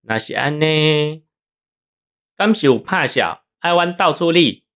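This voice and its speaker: Man putting on a glib young Taiwanese gangster salesman, pitched up. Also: expressive, slow, fairly bright tone. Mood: oily, eager. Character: slick young gangster loan salesman, eagerly obliging. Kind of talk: radio drama acting.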